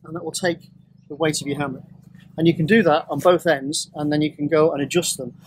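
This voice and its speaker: A man's voice: a little high, steady tone, brisk, slight background noise. Middle-aged man demonstrating outdoors. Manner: casual, hesitant with many ums and restarts. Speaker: bushcraft show co-host. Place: outdoors in woodland by a tarp shelter.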